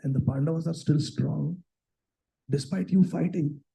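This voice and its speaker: A man's voice: fairly high pitch, a little slow, vocal fry, dark tone, rough recording. A man voicing a frustrated, scheming prince who pleads, insults and wheedles. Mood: pleading. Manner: pleading, then pushy and wheedling.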